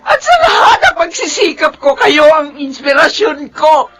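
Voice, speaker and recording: A woman's voice: high voice, very animated, moderate pace, a bit bright, shouting. An actress playing a loving but torn middle-aged mother in a Filipino radio drama, with a Filipino accent. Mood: upset, pleading.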